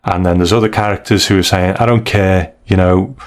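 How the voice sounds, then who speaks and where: A man's voice: low-pitched, fairly even intonation, a little fast. A man hosting a casual review discussion, retelling and explaining stories in a conversational, hedging way. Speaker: podcast host.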